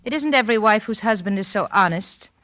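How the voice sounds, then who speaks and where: A woman's voice: mid-range voice, lively intonation, fairly bright tone, no echo, natural voice. Woman as icy, sarcastic radio drama wife. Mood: icy sarcasm. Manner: arch, cutting, measured politeness. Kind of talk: 1940s radio drama acting.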